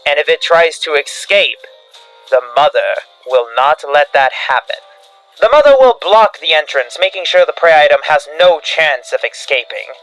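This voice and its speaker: A man's voice: a little high, lively intonation, normal speed, a bit bright, rough recording. A man delivering scripted analog horror narration like a field briefing, in a grave, dramatic instructional manner. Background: background music.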